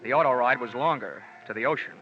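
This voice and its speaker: A man's voice: medium pitch, quick pace, lightly acted. Hard-boiled male detective narrator, played by an actor; wry, dry delivery; dull radio sound under music. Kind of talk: 1950s radio drama narration.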